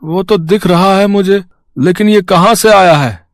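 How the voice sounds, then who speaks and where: A man's voice: sits high, measured pace, no room sound, clean studio sound. A man playing a worried, suspicious working-class husband in a cartoon story, earnest and questioning. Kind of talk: Hindi cartoon story dialogue.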